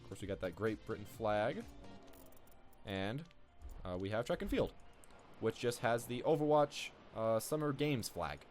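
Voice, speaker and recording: A man hosts a casual gaming video with a very lively, swinging pitch. He rambles off the cuff and repeats his phrases, with faint music behind him. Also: a little slow, pauses often. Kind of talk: casual off-the-cuff gameplay commentary.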